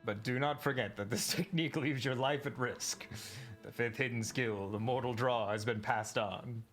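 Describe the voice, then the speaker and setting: A man's voice: mid-range voice, moderate pace, a bit bright, soft background music, natural voice. A man voicing a solemn ancient male warrior spirit who mentors, in grave, archaic and formal speech. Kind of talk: voicing a game character while streaming.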